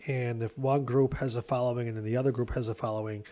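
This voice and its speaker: A man, a guest speaking in a measured, explanatory way. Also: medium pitch, little pitch movement, dark tone. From Ukraine. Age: 40s. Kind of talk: casual podcast interview.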